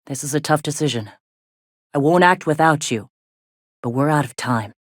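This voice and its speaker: A woman's voice: sits low, lively intonation, moderate pace, no echo. A tough frontier rebel medic for a video game, recorded close and dry. She is plain-spoken and sardonic, an earthy, swearing second-in-command who sounds worn down by the fight. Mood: grave, conflicted. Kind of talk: video game dialogue acting.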